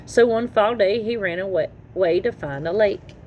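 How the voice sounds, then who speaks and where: A woman reading a children's story aloud in an expressive, animated storytelling manner. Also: mid-range voice, moderate pace, lo-fi sound. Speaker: children's story reader and channel host. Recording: noticeable background noise.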